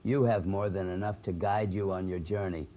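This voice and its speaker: A man playing an older gentleman spirit in a horror audio drama, formal, cryptic and theatrically unhurried. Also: deep voice, steady tone, close to an everyday voice. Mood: cryptic, grave.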